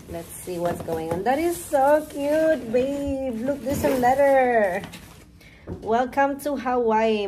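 A young woman chatting to her phone camera in a hotel room, gushing and tired, her voice trailing off softly at times. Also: mid-range voice. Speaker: vlogger. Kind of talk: casual travel vlog. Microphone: phone at arm's length. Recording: noisy phone recording.

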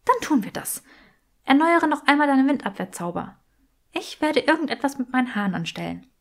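A woman voicing a young, brainy witch who is nervous, excitable and bossy, in an audiobook. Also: sits high, a little fast, pitched above the performer's own voice. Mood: determined. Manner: exclaiming, emphatic, anxious.

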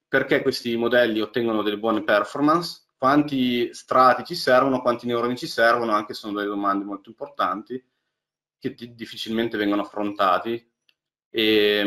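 A man's voice: medium pitch, a bit bright. A man, giving a technical lecture over a webcam and talking through slides with many fillers and restarts. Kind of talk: technical lecture on deep learning. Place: small indoor room. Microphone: webcam on a video call.

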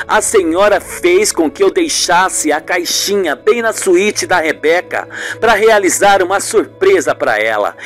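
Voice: mid-range voice, a bit bright. A performer voicing an upset, doubtful grown man, a son in a radio soap, plaintive and questioning. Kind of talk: radio soap opera acting.

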